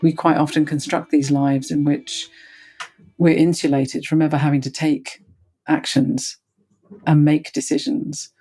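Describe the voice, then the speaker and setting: A woman's voice: sits low, little pitch movement, unhurried, warm tone, breathy edge, soft background music. A woman in an explanatory discussion, thoughtful and a little didactic as she lays out her points. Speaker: theater discussion panelist.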